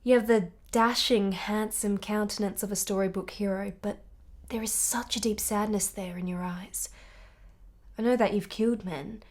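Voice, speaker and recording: An actress plays a passionate young married woman in a period radio play, mid-pitched and articulate. She is candid and intense, with a measured delivery full of yearning. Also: steady tone, natural voice. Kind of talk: radio drama acting.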